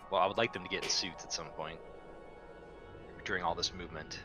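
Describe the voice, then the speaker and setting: A man's voice: deep voice, fairly even intonation, brisk, pushed and bright, faint music behind. A man playing a tabletop roleplaying game, chatting casually in and out of character with a take-charge manner.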